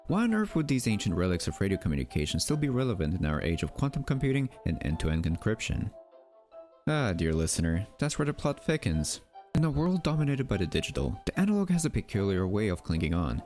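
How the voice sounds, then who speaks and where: A man's voice: fairly low pitch, a little mellow. A man delivering scripted documentary narration in a wry, theatrical manner, with rhetorical asides. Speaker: narrator of a mystery documentary channel.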